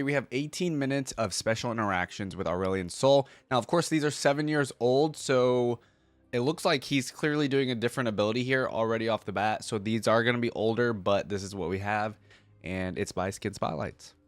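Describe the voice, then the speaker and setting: A man's voice: mid-range voice, fairly quick, no room sound. A man hosting a reaction video, talking casually in an enthusiastic, slangy fan style.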